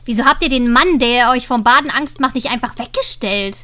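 A woman's voice: a little high, fairly quick. A woman with a cold, chatting casually and joking as she plays through an adventure game. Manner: chatty, joking, thinks out loud. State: has a cold. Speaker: let's play host. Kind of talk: casual gameplay commentary.

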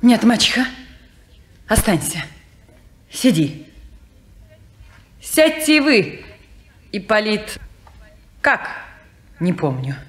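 An actress playing a curt, defiant young stepdaughter in a 1950s radio play. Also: female voice, medium pitch, very animated, takes it slowly, long pauses, fairly bright tone. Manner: curt, commanding.

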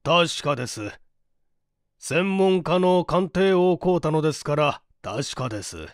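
A man voicing a mild, sheepish gentleman and father in an audiobook, speaking in a deliberate, self-deprecating way. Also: a little high, lively intonation, dry recording, clean studio sound. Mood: explaining. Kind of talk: audiobook character reading.

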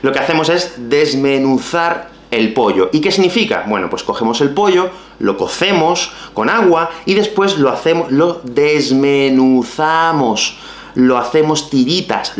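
A man in his thirties with a Spain Spanish accent, teaching Spanish vocabulary to camera in a lively, playful way. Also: medium pitch, roomy sound. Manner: lively, expressive, playful asides. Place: small bright room at home.

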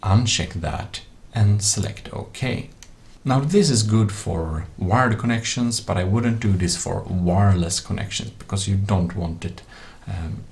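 A man's voice: fairly low pitch, a little slow, creaky, darkish tone. A man with non-native English phrasing, narrating a computer tutorial in a casual, explanatory way. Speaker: tech tutorial presenter. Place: voice-over for a screen recording.

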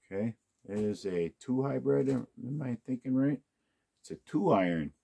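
A man's voice: medium pitch, lively intonation, normal speed, creaky, dark tone. A man casually talking through an unboxing of used golf clubs, musing aloud to himself as he inspects each one. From United States. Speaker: golf club unboxing host.

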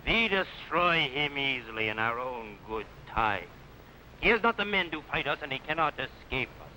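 An actor playing a boisterous, bloodthirsty Viking warlord, declaiming theatrically in 1950s television adventure style. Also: male voice, mid-range voice, big pitch swings, moderate pace, sharp tone. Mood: gloating. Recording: noisy old 1950s film soundtrack.

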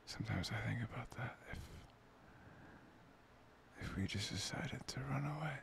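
Soft breathy guy doing boyfriend asmr, gravelly fry, half whispered, bit of background hiss. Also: medium pitch. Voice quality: breathy, often dropping to a whisper. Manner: soft, tender, murmuring with long pauses. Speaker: ASMR boyfriend roleplay performer. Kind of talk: intimate boyfriend ASMR roleplay.

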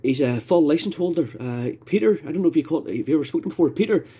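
A Scottish man makes casual small talk over amateur radio. His voice sits a little high, with a mellow, husky grain. He rambles and often circles back over his own phrases. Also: animated, quick pace. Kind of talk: casual ham radio chat.

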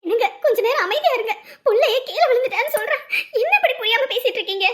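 A woman's voice: very high voice, animated, very fast, pitched above the performer's own voice. A woman voicing a scheming village stepmother who is falsely sweet in front of the family and spiteful in private. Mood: fake-sweet. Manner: syrupy in front of others, sharp aside. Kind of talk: one-person village family skit.

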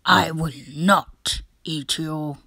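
A woman voicing a grumpy, reluctant male monster from a picture book, muttering threats in a deliberate grumble. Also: deep voice, steady tone, gravelly, pushed and bright, no echo, studio quality, full character voice. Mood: huffy. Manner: grumbling, deliberate, whispers and bellows.

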